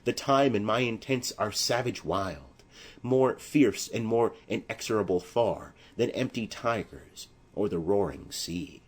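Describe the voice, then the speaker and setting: A man playing a desperate, savage young exiled lover in a tragedy audiobook, declaiming gravely and with menace. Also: lowish voice, animated, a little slow. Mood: urgent, threatening. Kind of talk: classical tragedy audiobook acting.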